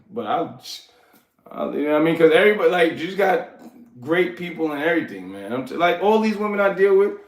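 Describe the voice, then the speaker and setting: Hyped New streamer man, echoey room. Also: on the high side. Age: 40s. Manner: hyped shout-outs, rambling, streetwise slang. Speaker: livestream host. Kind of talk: casual livestream chat with viewers.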